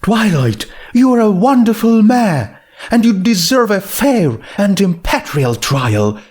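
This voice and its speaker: A refined, motherly lady pony, as a male reader puts her on in a story. The voice is lifted high and slow, with a genteel, fussing manner. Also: sing-song, a little mellow, husky, full character voice. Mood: firm, supportive. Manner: genteel, fussing, affectionate endearments. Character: refined, motherly female pony dressmaker, caring and firm.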